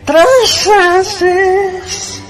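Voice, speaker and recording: A woman's voice: very high-pitched, fairly even intonation, drawn-out words, soft background music, exaggerated voice. A woman playing a wretched, obsessive cave creature in an audio drama, whining and muttering in petulant repeated phrases that break into whispers. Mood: indignant, whining. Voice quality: whiny, breaking into breathy whispers.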